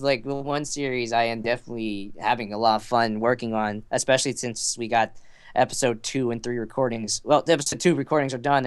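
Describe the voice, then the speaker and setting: A young man chatting casually as a podcast guest, excitable and sweary, poking fun at himself with theatrical bursts. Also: medium pitch, clear, resonant tone. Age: young adult. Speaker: podcast guest, amateur voice actor and fandubber. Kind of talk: casual chat.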